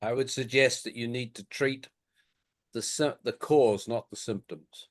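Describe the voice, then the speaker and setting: A man giving technical advice on a group call in a deliberate, matter-of-fact way. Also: mid-range voice, fairly even intonation, dry recording, studio quality. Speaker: technical adviser. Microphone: conference call.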